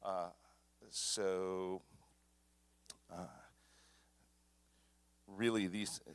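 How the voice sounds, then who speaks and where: A man officiating a small wedding, speaking informally and haltingly into a microphone. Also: deep voice, little pitch movement, smooth, no room sound. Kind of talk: informal wedding ceremony address. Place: small wedding ceremony at a temple, streamed. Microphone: microphone through a sound system.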